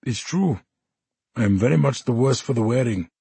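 Man playing a drunk soldier, formal, growling, despairing, husky and gravelly. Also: medium pitch, animated, moderate pace, dark tone, dry recording, exaggerated voice. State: drunk and unsteady. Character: embittered one-handed soldier, drunk and despairing.

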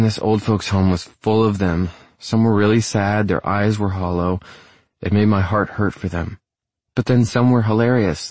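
A man's voice: on the low side, a little mellow, dry recording, voice pushed up, natural voice. A man voicing a bubbly, self-conscious, sweetly oddball young woman in an audiobook, gushing and a little hesitant. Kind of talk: audiobook character dialogue.

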